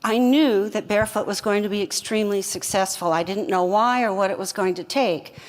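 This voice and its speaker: An older woman, a company co-founder, telling her story in an earnest, reflective and measured way. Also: medium pitch. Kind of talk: fireside chat interview answers. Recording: long hall reverb.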